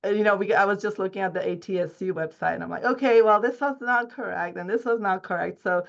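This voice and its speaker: A woman's voice: mid-range voice, fast, gravelly. A woman from the Czech Republic, a native Czech speaker, giving a research presentation in an earnest way with many fillers and restarts. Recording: dull lo-fi recording.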